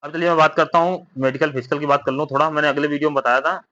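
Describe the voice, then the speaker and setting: A man's voice: on the high side, fairly even intonation, rapid-fire, sharp tone, no echo, dull audio. A man explaining exam updates informally to candidates, repeating himself, often checking for agreement, advising and reassuring. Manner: repetitive, with frequent tag questions seeking agreement.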